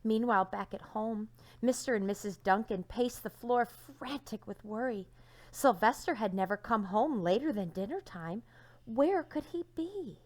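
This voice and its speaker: Expressive woman reading a storybook aloud. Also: on the high side, a little slow. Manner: expressive, dramatic emphasis with hushed line endings. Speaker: teacher reading aloud to young children.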